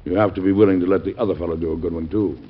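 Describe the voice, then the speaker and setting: A dull old radio recording of a big-hearted, stout American president. His voice is low and avuncular, and he speaks in an unhurried, folksy yet firm way. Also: fairly even intonation, slightly rough. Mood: gently firm. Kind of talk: old-time radio drama acting.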